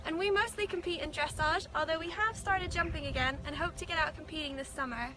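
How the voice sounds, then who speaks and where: A woman's voice: sits high, fairly quick, music in the background. Female contestant, 20s, perky self-intro.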